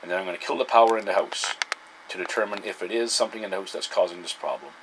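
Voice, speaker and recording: Low-voiced man talking over noise. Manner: halting, folksy, thinking aloud. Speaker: hobbyist radio vlogger. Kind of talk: casual explanatory vlog.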